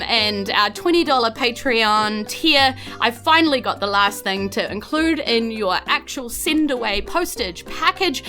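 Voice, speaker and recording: A woman's voice: a little high, bright, forceful tone. A woman with a New Zealand accent, chatting and narrating as the game master and host of a podcast.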